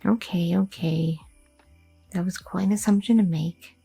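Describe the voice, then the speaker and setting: A curious, chatty young girlfriend, voiced by a woman in an ASMR roleplay, her voice very gravelly, mellow and softly husky. She rambles with many fillers and asks question after question in a nervous way. The close-mic sound is dry, with faint music behind. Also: lowish voice, steady tone, a little slow, no echo, heavily acted. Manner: rambling, inquisitive, filler-heavy talk.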